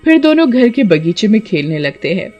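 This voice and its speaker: A woman's voice: mid-range voice, very animated, moderate pace, dull audio. A woman narrates a scripted story for children. She works as the storyteller between scenes, with faint music playing underneath.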